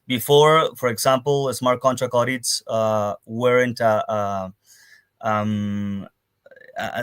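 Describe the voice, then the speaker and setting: A man from Venezuela talks as a guest in a casual interview. His voice sits at an ordinary middle pitch. He speaks haltingly and often restarts his sentences. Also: a bit bright. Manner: halting, many restarts and fillers. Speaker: guest, security firm partnerships manager. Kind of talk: casual interview on a live audio space.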